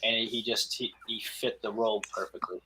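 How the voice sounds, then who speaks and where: A man's voice: on the low side, flat delivery, normal speed, noisy background. A man chatting casually as a filmmaker guest, often repeating words as he goes. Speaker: independent filmmaker, podcast guest. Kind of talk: casual chat.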